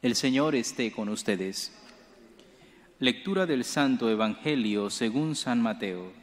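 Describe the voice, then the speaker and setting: A young man, a priest, preaching a homily at a lectern microphone in a church, earnest and measured, rising for emphasis. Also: mid-range voice, expressive. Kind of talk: homily at Mass. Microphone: lectern gooseneck mic. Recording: long church reverb.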